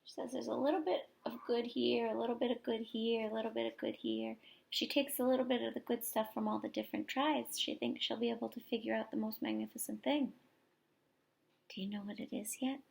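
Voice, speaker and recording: A woman teacher reading a picture book aloud expressively to young children, pausing for explanations and questions. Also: a little high, fairly even intonation, vocal fry, a little mellow. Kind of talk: expressive children's story read-aloud with asides to listeners.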